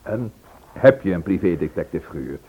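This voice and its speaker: An actor playing a sensible, reluctant friend in a Dutch radio play, down-to-earth in manner. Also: male voice, sits low, big pitch swings, normal speed, exaggerated voice. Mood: curious. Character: sensible, reluctant male friend, about to go on holiday. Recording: husky, dull old radio recording.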